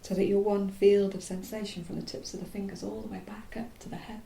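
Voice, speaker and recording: A woman leads a guided body relaxation in a mellow voice with a gravelly creak. She speaks softly and soothingly, leaving pauses mid-phrase and letting endings go breathy. The room is echoey. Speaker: yoga nidra teacher. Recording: roomy echo, faint low rumbling noise.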